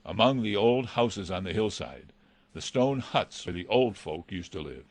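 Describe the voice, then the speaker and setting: A man's voice: lowish voice, expressive, normal speed, no echo. A man playing an anxious, deferential butler in a radio mystery drama, earnest and hesitant. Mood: earnest, explaining. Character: anxious male butler, deferential, dreading villainy.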